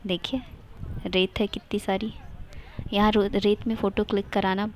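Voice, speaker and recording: A woman's voice: mid-range voice, little pitch movement, normal speed, slight background noise. A young woman from India narrating a casual travel vlog, cheerful and chatty, pointing things out to her viewers. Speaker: vlogger.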